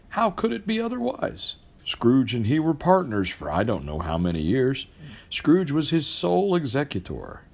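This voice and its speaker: A middle-aged man narrates literary fiction as an expressive storyteller, with emphatic, theatrical and deliberate phrasing. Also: lowish voice. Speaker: storybook reader.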